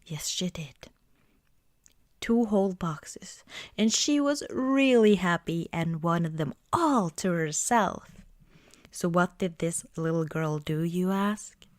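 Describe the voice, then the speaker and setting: Female ASMR performer, intimate close-mic roleplay, soft half-whispered delivery, leisurely pace, no room sound. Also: fairly low pitch, lively intonation, dry recording. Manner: gentle, unhurried, often dropping into whispers. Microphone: close microphone, dry sound.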